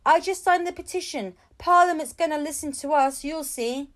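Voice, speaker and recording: An excited, supportive passer-by from a children's storybook, acted by a woman reader. The voice is high, emphatic and encouraging. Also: moderate pace, natural voice. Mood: eager, encouraging. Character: excited supportive members of the public, strangers.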